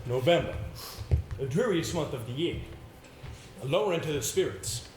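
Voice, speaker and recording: In a reverberant theatre recording with faint noise, an actor plays a polite young male solicitor. His voice sits a little high, and he speaks in a formal, measured, earnest way. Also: expressive, darkish tone, close to an everyday voice. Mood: grave, descriptive. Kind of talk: amateur stage play narration and dialogue. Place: indoor stage, picked up with room sound. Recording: roomy, noisy amateur theatre recording.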